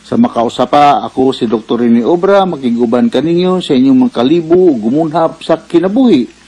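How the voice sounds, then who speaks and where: A man's voice: medium pitch, a little fast, warm tone, husky. A man with a Cebuano Filipino accent presenting a radio advice program as its doctor host, explaining things conversationally.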